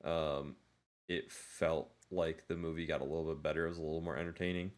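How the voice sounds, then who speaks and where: A man with a low voice talks as a podcast co-host. His delivery is casual and conversational, rambling through retellings with animated exaggeration. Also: a little fast. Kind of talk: casual movie discussion chat.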